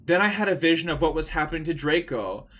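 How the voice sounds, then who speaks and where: A man's voice: a little high, expressive, quick pace, fairly bright tone. A man streaming a comedic dramatic reading of a story, in a theatrical, mock-serious way.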